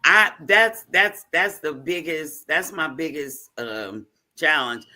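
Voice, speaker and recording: A man's voice: fairly high pitch, slow, pushed and bright. A man chatting candidly and colloquially as a cast member on a panel. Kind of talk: casual chat.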